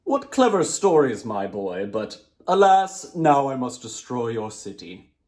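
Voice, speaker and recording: A man voicing an enormous, imperious sandstorm djinn in a storybook, loud, grandiose and commanding. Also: mid-range voice, very animated, a little slow. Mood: grudging threat.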